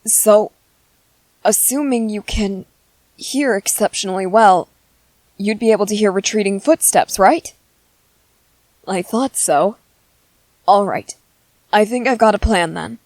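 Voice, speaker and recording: A woman voicing a gentle, clever young male soldier in an ASMR roleplay, speaking softly and politely with hesitant ums. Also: medium pitch, a little slow, long pauses, no room sound, lightly acted. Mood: earnest planning.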